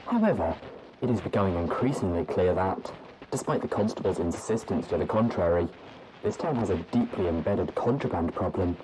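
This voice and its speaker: A man reads a fantasy story aloud in a formal, measured way, as if from a journal. His voice sits very low, and a clear layer of noise runs under the recording. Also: expressive. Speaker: audiobook narrator. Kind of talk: scripted narration of a fan fiction.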